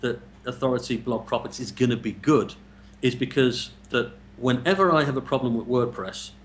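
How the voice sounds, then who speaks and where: A man's voice: medium pitch, moderate pace, slight background noise. Chatty English man hosting. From England. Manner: chatty, repeats words when starting. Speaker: interview host. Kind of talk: casual interview chat.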